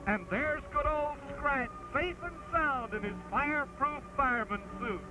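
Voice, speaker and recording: A man's voice: extremely high pitch, measured pace, rough recording. A man giving a theatrical, emphatic dramatic reading of an old-fashioned children's adventure, with music under his voice. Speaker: narrator, live stream host.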